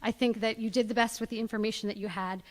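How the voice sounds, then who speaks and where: A woman's voice: a little high, fairly even intonation, fast. A woman giving an earnest, appreciative public comment at a school board meeting. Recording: livestream with long hall reverb.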